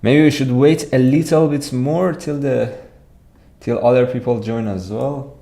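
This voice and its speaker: Slow-talking Iranian man streaming. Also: medium pitch, steady tone, creaky, mellow. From Iran. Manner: chatty, repeats words, answers viewer comments. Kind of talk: casual livestream chat.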